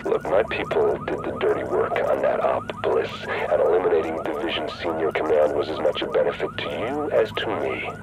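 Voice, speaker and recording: Very deep, calculating rogue agent. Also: male voice, brisk, hardly any pauses, heavy background noise. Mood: smug, businesslike. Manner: persuasive, coolly self-assured. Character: cynical, calculating rogue agent, a power-hungry man. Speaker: rogue agent and faction leader. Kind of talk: cinematic video game acting.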